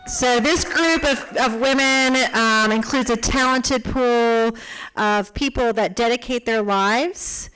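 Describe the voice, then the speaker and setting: A woman emceeing an awards ceremony, announcing the finalists' names and titles clearly. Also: a little high, fairly bright tone. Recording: crowd chatter and noise in event hall.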